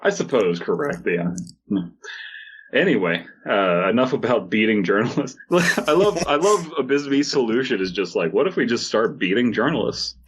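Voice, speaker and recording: A man's voice: medium pitch, animated, normal speed, a bit bright, slightly rough. A man hosting a podcast, reading stories aloud and bantering casually with joking, sweary asides. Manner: sweary, jokey. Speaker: podcast host.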